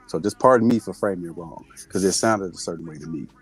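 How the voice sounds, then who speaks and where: A man's voice: low voice, fast talker, slightly rough. A man on a panel apologizing and owning how he framed a point. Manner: conciliatory, apologetic.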